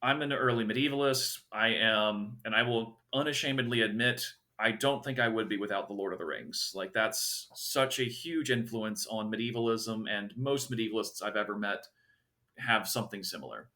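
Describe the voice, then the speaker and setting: A man's voice: on the low side, moderate pace, sharp tone. A man hosting a history podcast, chatting frankly and asking his guest questions. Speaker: podcast host, early medievalist. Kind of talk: casual interview questions.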